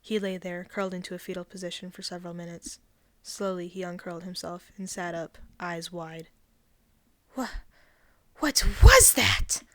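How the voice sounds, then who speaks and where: A woman narrating a written story in a scripted, expressive reading style. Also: lowish voice, fairly quick, dry recording. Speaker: fan fiction reader.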